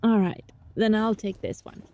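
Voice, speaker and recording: A woman playing a gentle, sly young fox-spirit student in an intimate ASMR roleplay, speaking softly and breathily in a coaxing, teasing way. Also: mid-range voice, lively intonation, normal speed, close to an everyday voice. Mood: friendly, relieved. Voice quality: soft, breathy.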